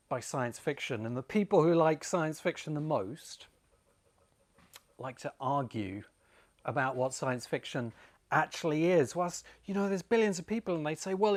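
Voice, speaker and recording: British man in his forties, explaining deliberately. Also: fairly high pitch. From Great Britain. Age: 40s. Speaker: host and presenter. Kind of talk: informal explanatory video essay.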